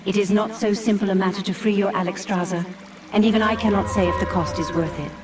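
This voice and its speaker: A woman's voice: mid-range voice, fairly even intonation, over music, natural voice. Woman as a solemn, aloof dragon of dreams. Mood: cool, cautioning. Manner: measured, solemn. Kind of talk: fantasy game cutscene acting.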